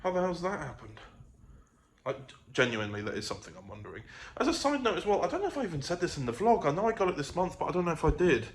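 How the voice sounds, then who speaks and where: A man's voice: a little high, big pitch swings, fast. Man vlogging casually in an echoey room. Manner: hesitant, self-correcting, trailing off. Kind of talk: casual rambling vlog chat. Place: living room of own apartment. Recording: echoey room with faint ambient noise.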